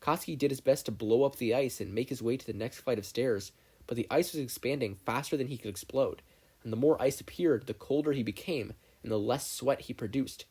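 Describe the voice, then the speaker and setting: A man's voice: mid-range voice, brisk, a little mellow, husky. A man reading scripted story narration as an audiobook narrator.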